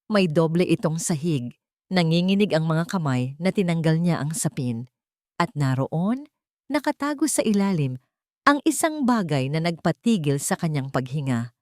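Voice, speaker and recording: A woman with a Filipino accent reading a scripted love story as a narrator, in a dramatic, deliberate way. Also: fairly low pitch, a little mellow, no echo, clean studio sound.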